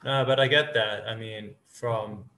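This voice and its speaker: A man's voice: fairly low pitch, steady tone, a little slow. A man from Africa, hosting an interview and asking long, rambling questions. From South Africa. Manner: rambling questions, soft affirmations. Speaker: podcast host. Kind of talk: casual interview. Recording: roomy echo.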